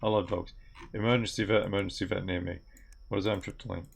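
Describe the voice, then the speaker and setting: A young man with a gravelly voice, commenting on a game as he plays and mutters through on-screen text. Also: low voice, fairly even intonation, quick pace. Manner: mutters aloud while reading on-screen text. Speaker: gaming video creator. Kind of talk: game commentary while playing. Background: keyboard typing and clicks.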